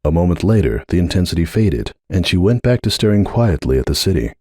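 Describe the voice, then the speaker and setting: Guy narrating a story, lowish mellow voice, really expressive reading, dry close sound. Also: brisk, husky, no room sound. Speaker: narrator of fan fiction readings. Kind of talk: dramatic scripted story narration.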